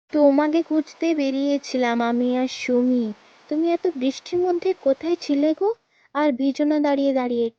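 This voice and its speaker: A woman's voice: sits high, a little mellow, dry recording, muffled recording, lightly acted. A woman voicing a fretful, scolding but caring village mother in a cartoon. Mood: fussing. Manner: fussing, chiding. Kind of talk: cartoon voice acting.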